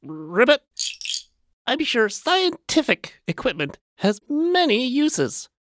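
An actor voicing a smug, educated talking frog in an audio storybook drama, punctuating speech with croaked ribbits. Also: mid-range voice, very animated, slow pace, a bit bright, no room sound, studio quality. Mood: matter-of-fact, smug. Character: talking frog, well-schooled, matter-of-fact, slightly smug male.

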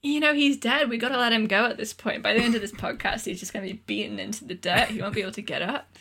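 Bright-voiced woman chatting casually. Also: a little high, steady tone, fast talker, creaky. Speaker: podcast panelist. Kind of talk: casual comedic podcast chat.

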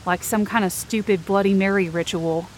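A woman's voice: mid-range voice, steady tone, moderate pace, a little hiss or hum, poor audio. A woman performing scripted first-person fiction narration as a tense, searching inner monologue.

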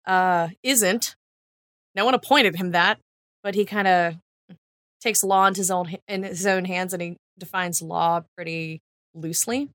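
Woman chatting casually, halting, dry close sound. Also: fairly low pitch, fairly even intonation, fairly bright tone, no room sound. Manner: halting, thinking aloud, colloquial. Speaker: podcast co-host. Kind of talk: casual unscripted podcast chat.

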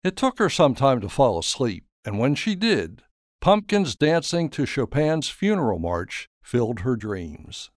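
A man narrating a scripted story in a measured, dramatic storytelling manner. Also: mid-range voice, big pitch swings, dry recording, studio quality. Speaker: author and narrator.

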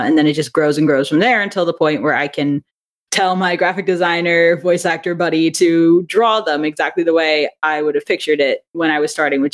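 A woman in a casual interview chat, talking in an animated way and acting out bits of quoted thought as she explains her creative work. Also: low voice. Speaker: interview guest, audio drama writer and director.

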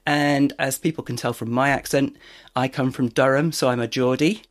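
A man hosting a podcast in relaxed, chatty talk, laughing often. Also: medium pitch, moderate pace. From northeast England. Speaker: podcast host. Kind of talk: casual chat.

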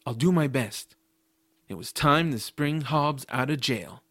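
A man's voice: mid-range voice, lively intonation, unhurried, background noise, close to an everyday voice. A man playing an earnest, kind-hearted adventurous boy who narrates his own story in an audio drama. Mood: determined. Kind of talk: first-person audiobook narration with dialogue.

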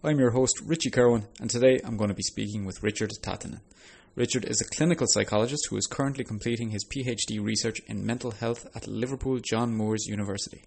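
A man's voice: on the low side, fast talker, creaky, darkish tone, husky. A man reading a scripted podcast introduction as the show's host.

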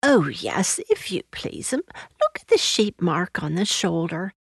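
A stout hedgehog washerwoman from a children's storybook, acted by a woman. She is polite and fussy, eager to please, and speaks in a dry, close recording with animated pitch. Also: a little slow, gravelly, breathy edge, dry recording, exaggerated voice. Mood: chatty, matter-of-fact.

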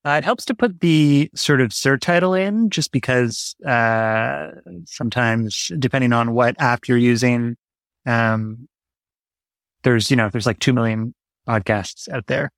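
A man's voice: mid-range voice, unhurried, dry recording, clean studio sound. A man speaking as an interview guest in a casual, reflective way, tentative and often correcting himself. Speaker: podcast guest, writer and creator.

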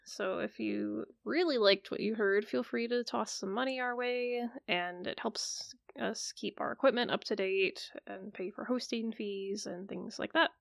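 A woman's voice: medium pitch, steady tone, unhurried, creaky, hardly any pauses, no room sound, studio quality. A woman hosting a casual podcast chat, chatty and laughing often, with plenty of filler words. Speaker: podcast host.

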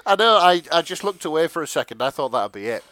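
A man's voice: on the high side, sing-song, fast talker, pushed and bright, no echo. A young man, narrating a comic dramatic reading of fan fiction.

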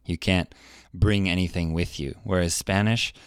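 A man co-hosting a podcast, musing out loud in a hesitant way with many restarts. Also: deep voice, fairly even intonation, normal speed, clear, resonant tone, dry recording, clean studio sound. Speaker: podcast co-host. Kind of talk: casual podcast chat.

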